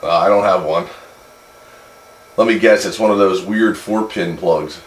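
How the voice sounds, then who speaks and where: A man's voice: low voice, a little slow. A man chatting casually and technically as a CB radio operator. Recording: echoey room, clear background noise.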